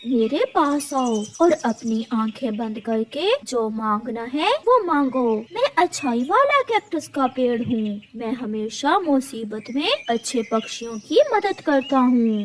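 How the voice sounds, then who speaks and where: A performer voicing a kind, male talking cactus tree in a slow, soothing, reassuring manner for a cartoon story. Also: high-pitched, sing-song, a little hiss or hum. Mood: gentle, reassuring.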